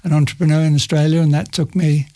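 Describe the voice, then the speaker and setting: A man's voice: fairly high pitch, level tone, quick pace, dark tone. A middle-aged man telling stories about his career as an interview guest in a loose, self-interrupting way, with frequent laughs. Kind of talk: casual conversational storytelling.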